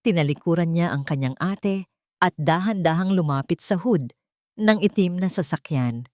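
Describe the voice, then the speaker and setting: A woman's voice: fairly low pitch, animated, normal speed, darkish tone, no echo, clean studio sound. A woman narrating a scripted dramatic story in a solemn, melodramatic manner.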